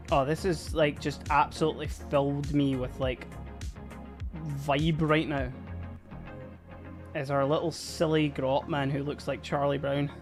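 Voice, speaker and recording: A live-streaming miniature painter chatting casually and giggling a lot while painting, a little hoarse from laughing. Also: medium pitch, level tone, slow pace, pauses often, soft background music. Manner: chatty, giggly, rambling, addresses chat.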